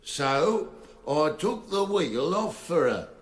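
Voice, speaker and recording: Old English countryman, flustered and plain-spoken, unhurried delivery, fairly high voice, for radio drama. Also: sing-song. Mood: earnest, flustered. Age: older.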